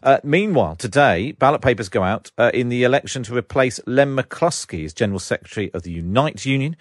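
Quick low-voiced guy hosting a radio show, dry sound. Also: expressive, no echo. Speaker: radio presenter. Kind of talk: broadcast interview and links.

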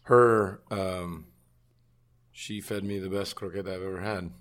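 A man hosting a casual talk, friendly, with short eager replies and quick questions. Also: deep voice, fairly even intonation. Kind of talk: casual chat.

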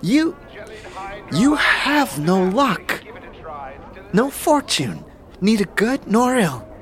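Fantasy humanoid gambler, sly, proud, very animated pitch, slow clipped broken phrases, audio drama, noisy backdrop. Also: male voice, exaggerated voice. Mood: grave, unsettled. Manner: halting, repetitive, clipped broken syntax.

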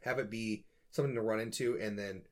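A man's voice: lowish voice, steady tone, quick pace. A man co-hosting a podcast, chatting casually and riffing with jokes. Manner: joking, riffing. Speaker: podcast co-host. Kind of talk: casual comedic chat.

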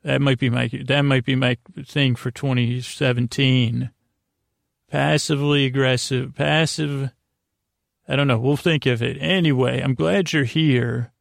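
A man delivers a soothing, wandering bedtime podcast monologue. His voice is mid-pitched with plenty of vocal fry, recorded very dry. He hesitates, repeats himself and drifts from one half-finished phrase to the next. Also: steady tone, no echo. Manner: meandering, halting, trails off and repeats himself. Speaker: podcast host. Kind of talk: rambling bedtime monologue to help listeners sleep.

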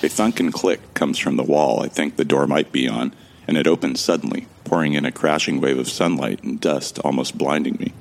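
A man's voice: rumbling low, a little fast, creaky, soft background music, exaggerated voice. An actor playing a bewildered amnesiac man who wryly narrates his ordeal in the first person for a sci-fi audio drama. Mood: wry, musing. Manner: wry, musing.

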